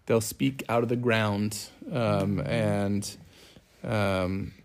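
A middle-aged man with a low, creaky voice talks through scripture in a casual discussion. He thinks aloud haltingly as he explains. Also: steady tone. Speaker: family scripture reading host.

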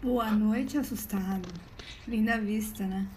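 A woman's voice: mid-range voice, takes it slowly, dark tone, natural voice. A woman with a Brazilian accent playing a homeless, bitterly resigned doomsayer in an audio drama, speaking with urgent emphasis. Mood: wry, insistent. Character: homeless woman, wary doomsayer witnessing an alien invasion. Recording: echoey room with faint background noise.

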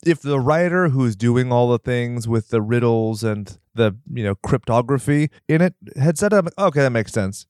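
A man's voice: mid-range voice, animated, a little mellow, clean tone, no room sound, clean studio sound. Jokey man hosting a podcast. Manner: jokey, playful, quick asides. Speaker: podcast host. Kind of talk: casual podcast chat.

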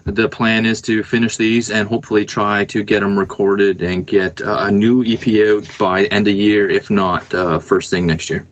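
Man, lowish pitch, musician guest, casual interview chat, halting, thinking aloud. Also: little pitch movement, highs cut off, a little hiss or hum.

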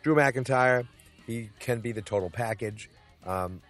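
A man's voice: mid-range voice, a little slow, fairly bright tone, faint music behind. A man hosting his own podcast, rambling through picks with jokes, asides and lots of filler words. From New England. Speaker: podcast host. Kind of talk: casual solo wrestling podcast. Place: Boston.

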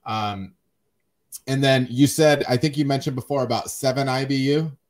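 A man's voice: mid-range voice, fairly even intonation, moderate pace. A middle-aged man hosting a podcast, talking casually and thinking aloud, often repeating words. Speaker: podcast host. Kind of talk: casual chat.

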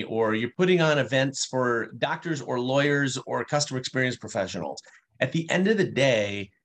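A man in mid pitch gives long, fluent answers in an interview. He explains his ideas patiently and now and then slips in a playful aside. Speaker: guest, customer experience speaker.

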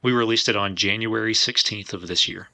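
A man with a strikingly sharp, bright voice and a gravelly fry chats casually as a podcast co-host. His pitch sits fairly low. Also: steady tone, a little fast, no echo. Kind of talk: casual chat.

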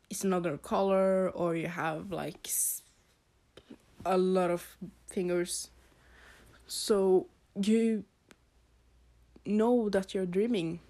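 A young woman from Norway with a Norwegian accent, hosting a casual podcast, rambling in a halting way with fillers and soft laughs. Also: medium pitch. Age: teens or young adult. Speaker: podcast host.

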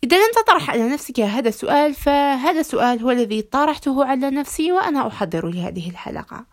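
A woman hosting a podcast, addressing listeners in formal Arabic with a measured, rhetorical delivery and a slightly hoarse voice. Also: high voice, expressive. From Algeria. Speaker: podcast host.

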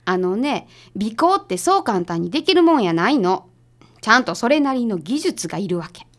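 Woman acting a bossy, animated Kansai assistant. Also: medium pitch, lightly acted. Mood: irritated. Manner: brisk, curt, huffy. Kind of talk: radio drama acting.

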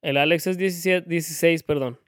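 A man in his thirties with a notably high-pitched voice. He trades jokes and teasing with his co-hosts in loose podcast banter. The sound is dry and close, with no room around him. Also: fairly even intonation, fast talker, dry recording. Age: 30s. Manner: joking, teasing, playful. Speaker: podcast co-host. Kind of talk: casual chat.

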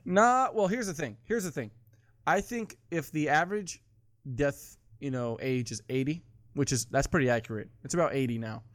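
A man's voice: a little high, normal speed, no echo, studio quality. A man in his twenties arguing bluntly and profanely in casual banter with friends. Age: 20s.

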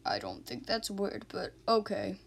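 A woman's voice: medium pitch, big pitch swings, moderate pace, creaky. A woman playing a folksy, doting police officer in an amateur radio drama. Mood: helpful.

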